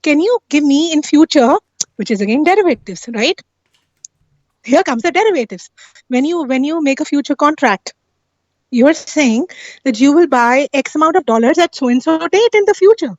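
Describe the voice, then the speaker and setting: A woman's voice: high voice, animated, normal speed, a little mellow, no echo, highs cut off. A woman explaining things in casual discussion, insistent and often checking that the listener agrees. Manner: insistent, often seeks agreement with tag questions. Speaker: guest on a live talk stream.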